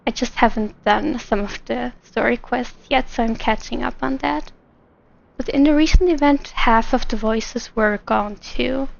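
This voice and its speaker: Girl streamer chatting, high voice, slow and hesitant, muffled mic, soft music behind. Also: creaky. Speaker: game streamer. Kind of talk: casual livestream chat over gameplay. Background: faint game music.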